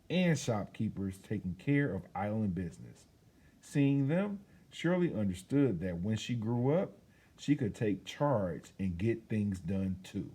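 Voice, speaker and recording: Guy reading a kids picture book out loud, mellow slightly husky voice, expressive and chatty. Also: on the low side. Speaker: storyteller reading a children's book aloud. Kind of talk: animated read-aloud with casual asides.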